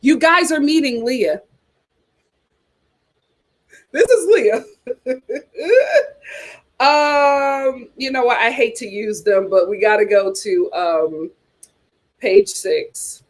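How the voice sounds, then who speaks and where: A woman talks through reality TV gossip for her channel. She is animated and emphatic, full of "you know" asides, and her voice sits fairly high. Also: slow pace, long pauses. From New York. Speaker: host of a reality TV chat channel. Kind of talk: casual reaction chat reading viewer comments.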